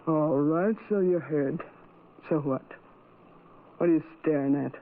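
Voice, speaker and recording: An actress playing a brassy, mercenary young carnival performer in an old radio drama, with slangy, hard-boiled speech. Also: female voice, deep voice, measured pace. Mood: scornful, mocking. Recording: noisy, narrow-band old radio recording.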